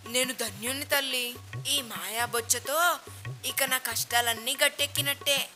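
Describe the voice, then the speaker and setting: A woman's voice: high voice, moderate pace, bright, forceful tone, faint music behind. A woman playing a poor, wily beggar man in a moral storybook tale, speaking deferentially and pleadingly. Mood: feigned innocence. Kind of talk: moral storybook character acting.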